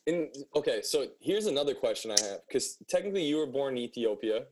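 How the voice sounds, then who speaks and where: A man's voice: mid-range voice, animated, brisk, background noise. A man hosting a casual interview, asking questions informally and reacting with short interjections. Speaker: interview host.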